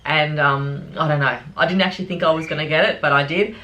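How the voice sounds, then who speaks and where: A middle-aged woman with a croaky voice from a cold, chatting casually and rambling to her viewers about groceries. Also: deep voice, fairly even intonation, fast talker, bright, forceful tone, poor audio. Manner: chatty, rambling, many fillers. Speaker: vlogger. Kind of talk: casual chat to camera.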